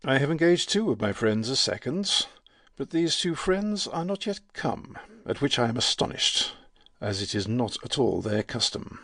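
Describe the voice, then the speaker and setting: An actor playing a proud, courteous, wounded musketeer with a formal, lordly manner in a dramatic audiobook. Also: male voice, medium pitch, big pitch swings, normal speed, a bit bright, no room sound, studio quality. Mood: courteous, musing.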